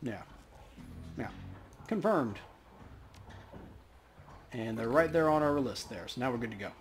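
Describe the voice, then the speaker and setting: A man's voice: medium pitch, animated, a little slow, faint music behind. A man hosting a let's play, giving casual running commentary on the game. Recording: faint background noise.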